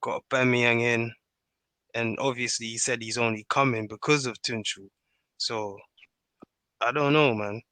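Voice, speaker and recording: Male panellist, sharp bright voice, plain-spoken football chat, dry sound. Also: medium pitch, fairly even intonation, a little slow, long pauses, dry recording. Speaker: podcast panellist. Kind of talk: casual football discussion.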